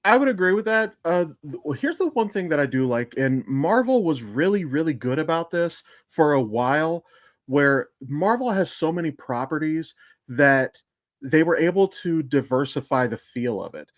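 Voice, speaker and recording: A man's voice: a little high, big pitch swings, normal speed, no room sound. A man co-hosting a podcast with casual, emphatic commentary on shows and films, explaining at length and swearing freely. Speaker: podcast co-host.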